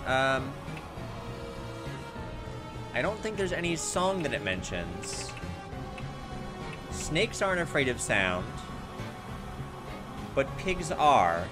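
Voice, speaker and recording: A man's voice: medium pitch, sing-song, slow pace, pauses often. A man giving casual playthrough commentary on a game, thinking aloud and reading on-screen text as he goes. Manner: thinking aloud, talking to himself. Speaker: gaming video host. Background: game music.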